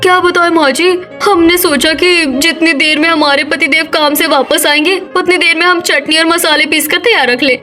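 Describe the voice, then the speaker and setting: Shrill, sharp young village wife woman acting. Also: steady tone, normal speed, few pauses, faint music behind. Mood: complaining. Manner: pointed, plaintive. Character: sharp, suspicious young village daughter-in-law, complaining.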